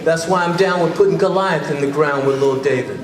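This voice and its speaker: A man with a high voice performs rhymed spoken word in a rhythmic, driving cadence. He is recorded from across a large, echoing hall. A crowd can be heard around him. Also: a little fast. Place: large indoor hall with an audience. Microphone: far camera. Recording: echoey hall from far off with crowd.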